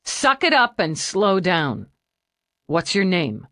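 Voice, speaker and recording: A woman's voice: fairly low pitch, wildly swooping pitch, slow, fairly bright tone, dry recording, studio quality, heavily acted. A woman voicing a tough female homicide lieutenant who gives blunt, curt orders. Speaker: police lieutenant.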